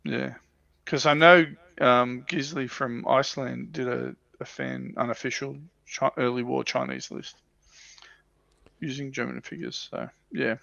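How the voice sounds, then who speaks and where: A man's voice: mid-range voice, fairly even intonation, measured pace, vocal fry, a bit bright, dry recording. A man chatting casually as a livestream co-host in a rambling, enthusiastic way, on a lo-fi microphone. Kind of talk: casual hobby chat. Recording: dry, dull lo-fi microphone.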